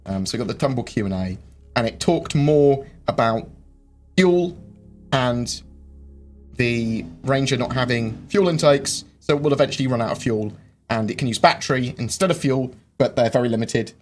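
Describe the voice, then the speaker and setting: A man hosting a live-stream Q&A, rambling through viewer questions with lots of ums and quick jokes. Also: medium pitch. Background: faint game music.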